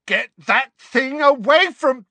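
A man's voice: very high voice, sing-song, takes it slowly, bright, forceful tone, no room sound, pitched above the performer's own voice, heavily acted. A man voicing a big bullying teenage boy in a storybook, snarling and taunting, easily panicked.

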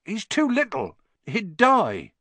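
A man voicing a soft-hearted, doting big man besotted with his pet, in a storybook reading. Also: mid-range voice, sing-song, slow pace, no echo, heavily acted. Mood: pleading.

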